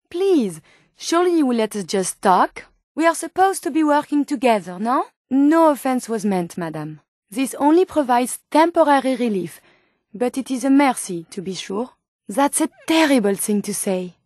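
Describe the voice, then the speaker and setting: An actress playing a polite, earnest, curious robot scientist with a French accent in a video game, speaking formally and precisely. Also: female voice, high voice, no room sound, studio quality. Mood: polite indignation. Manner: formal, precise, with questioning tags. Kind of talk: video game character dialogue.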